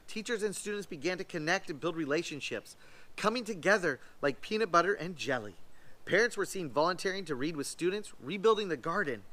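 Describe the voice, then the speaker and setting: A man reading a children's storybook aloud in an expressive, emphatic way. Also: high-pitched. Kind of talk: scripted storybook read-aloud.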